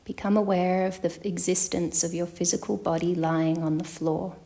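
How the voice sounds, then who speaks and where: A woman leading a guided yoga nidra meditation as a teacher, speaking in a calm, measured, instructional manner with long pauses. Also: fairly low pitch.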